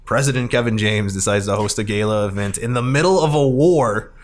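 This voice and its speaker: A man's voice: fairly low pitch, fairly quick. A man hosting a podcast in a casual, rambling, conversational style, as he recaps a film. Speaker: podcast host.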